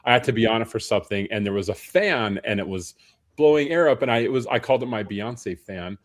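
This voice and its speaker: A tired, hoarse man speaking as a panel guest, chatting in a friendly, self-deprecating way with frequent restarts. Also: on the low side, fairly quick. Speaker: panel guest, personal trainer. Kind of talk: casual panel conversation.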